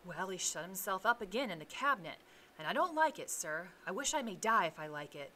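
A woman's voice: fairly low pitch, very animated, a little fast, bright, forceful tone, slight background noise. A woman voicing a frightened, deferential male butler in a storybook reading, hesitant and dogged.

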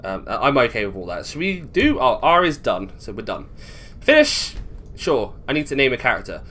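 A man's voice: medium pitch, wildly swooping pitch, moderate pace, pushed and bright. A man with a British accent giving casual, rambling playthrough commentary as a gaming video host, thinking aloud as he goes. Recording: game music behind voice. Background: video game music.

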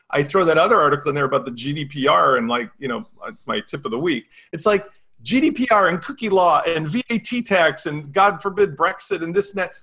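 A man around his forties giving emphatic, animated opinions in a panel discussion on a video call from home. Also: fairly high pitch, husky. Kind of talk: opinionated round-table discussion. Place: living room at home. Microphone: video call, away from the camera.

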